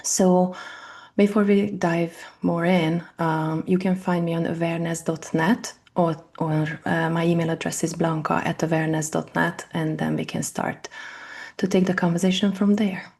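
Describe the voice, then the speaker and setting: A woman from Hungary with a Hungarian accent, speaking earnestly as an interview guest, her phrasing a little halting. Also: fairly low pitch, little pitch movement, vocal fry, darkish tone. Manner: earnest, slightly halting non-native phrasing. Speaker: guest, family constellation practitioner. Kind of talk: interview conversation.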